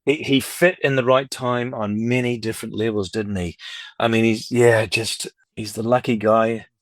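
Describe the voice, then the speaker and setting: A man's voice: medium pitch, steady tone, moderate pace, no echo. Rambling, upbeat man in his fifties. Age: 50s. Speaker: guest, record collector and dealer. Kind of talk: casual interview chat.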